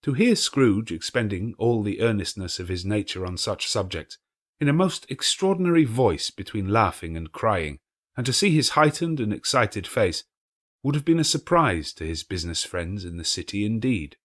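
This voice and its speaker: A man reading a classic novel aloud as an expressive storyteller. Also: on the low side, normal speed, dry recording, clean studio sound. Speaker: audiobook reader.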